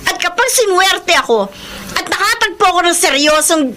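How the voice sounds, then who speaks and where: An actress playing a nagging, scornful, money-hungry wife in a radio drama, with loud complaints. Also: female voice, very high-pitched, expressive, fairly quick, noisy background. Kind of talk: Tagalog radio drama acting.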